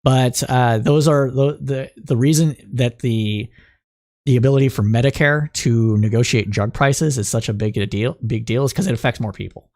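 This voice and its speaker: A man of middle pitch hosting a political news podcast, his voice a little raspy. He talks the news through as he thinks, stopping for fillers and restarts, then moves into reading report excerpts aloud. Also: moderate pace, darkish tone. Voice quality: slightly raspy. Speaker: podcast host.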